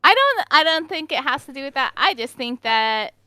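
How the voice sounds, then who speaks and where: A woman's voice: sits high, sing-song, moderate pace, bright, forceful tone, no room sound, clean studio sound. A woman with an American accent, a chatty and emphatic podcast co-host in casual conversation. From United States. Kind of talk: casual chat.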